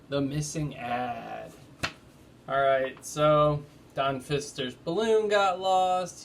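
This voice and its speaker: Higher-voiced man reading aloud, noisy recording. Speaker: teacher reading a children's book aloud. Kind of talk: read-aloud storytelling with casual chat. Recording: noticeable background noise.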